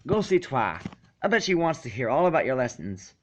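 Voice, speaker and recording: A man's voice: mid-range voice, very animated, fairly quick, slight background noise, close to an everyday voice. Man playing a blunt country mare. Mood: fondly teasing. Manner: instructive, frank, folksy. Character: blunt, teasing, affectionate female farm pony.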